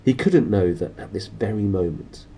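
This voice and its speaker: A man narrating a storybook in a lively way, with informal, playful asides to his listeners. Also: fairly low pitch, moderate pace, slight background noise. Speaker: reader, host of a read-aloud series.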